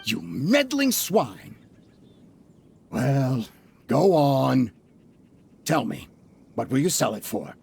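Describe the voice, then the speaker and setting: A woman's voice: a bass voice, big pitch swings, drawn-out words, vocal fry, pauses often, darkish tone, breathy edge, heavily acted. A woman voicing a pompous, greedy older male merchant in a game cutscene, huffing with indignation. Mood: indignant.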